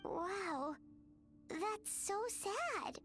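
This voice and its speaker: A woman voicing a small childlike fairy companion in a video game, with a squeaky, earnest and expressive delivery. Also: very slow, faint music behind, heavily acted. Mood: sympathetic. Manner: speaks of self in third person, expressive.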